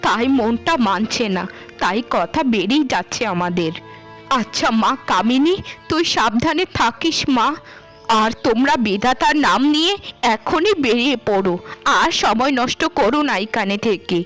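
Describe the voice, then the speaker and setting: A woman's voice: on the high side, lively intonation, normal speed, fairly bright tone, faint music behind. Woman playing pleading, doting bride's mother. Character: anxious, doting middle-aged mother of a bride. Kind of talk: melodramatic cartoon dubbing.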